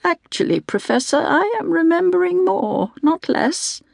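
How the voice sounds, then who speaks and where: An elderly woman scholar, acted by a female audiobook narrator, proud and defiant. She speaks with a creaky edge, formal and pointed, as if setting someone straight. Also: extremely high pitch, sing-song, measured pace, dry recording, clean studio sound, voice pushed up, heavily acted. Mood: insistent.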